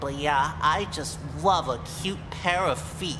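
A woman's voice: deep voice, unhurried, music in the background. A woman voicing a creepy, overeager, awkward nerdy young man in a visual novel game, with a fawning manner. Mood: nervous, eager. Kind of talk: voiced visual novel dialogue. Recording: long hall reverb, clear background noise.

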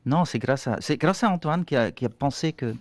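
A man's voice: mid-range voice, animated, brisk, no room sound. A middle-aged man from Switzerland with a Swiss German accent, a guest in a radio studio, searching for his words in French and restarting often. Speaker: guest singer. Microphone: studio desk microphone.

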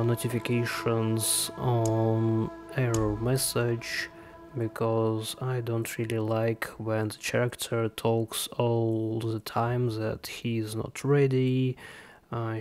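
A man narrating a casual gaming tutorial in a halting way, pausing mid-phrase. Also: mid-range voice, darkish tone, clear, resonant tone. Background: background music.

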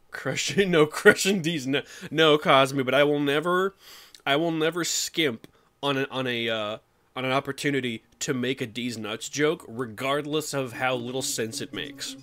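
A man streaming casually, chatting with viewers and jumping into high silly voices. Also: unhurried, a bit bright. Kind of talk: casual live-stream chat.

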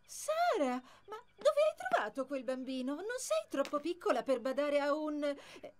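A kind, fussy mother in a children's cartoon. Her high voice rises and falls a lot as she talks. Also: sing-song, a little slow. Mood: surprised.

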